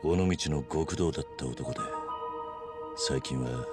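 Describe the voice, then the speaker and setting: A terse, gruff game ex-yakuza, very deep, music behind. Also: male voice. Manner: terse, measured. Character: stoic gruff male ex-yakuza, middle-aged. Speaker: former yakuza protagonist. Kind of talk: video game cutscene dialogue.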